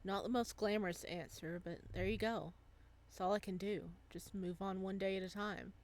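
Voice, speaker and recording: A woman's voice: mid-range voice, fairly even intonation, normal speed, a little mellow, lightly acted. A woman reading visual novel dialogue as a guilt-ridden, hesitant young man who is apologetic and defeated. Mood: resigned.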